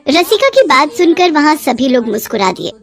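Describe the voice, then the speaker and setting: A woman's voice: high voice, sing-song, moderate pace. A woman narrating a scripted family story in a dramatic storytelling style.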